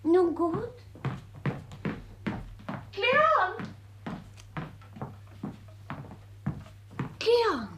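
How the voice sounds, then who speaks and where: An actress playing a gentle, devoted young woman in love in a classical comedy radio play. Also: very high voice, sing-song, very slow, noisy background, full character voice. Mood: hesitant.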